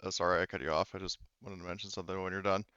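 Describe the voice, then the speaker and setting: A man's voice: low voice, level tone, racing, bright, forceful tone, clear, resonant tone, no echo. A man chatting casually out of character as the game master of a tabletop roleplaying game, in slightly dull audio.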